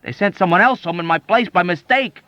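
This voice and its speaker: A man's voice: high voice, brisk, bright, forceful tone, no room sound, pitched above the performer's own voice. A man voicing an exasperated, frantic young male airman who talks urgently and emphatically. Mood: insistent. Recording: dull dry audiobook recording.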